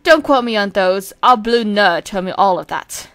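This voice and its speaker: A woman's voice: medium pitch, expressive, normal speed, fairly bright tone, faint music behind, lightly acted. A woman voicing a proud, prim male twin in an amateur comic dub, haughty and theatrical but grudgingly kind. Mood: stiff, haughty.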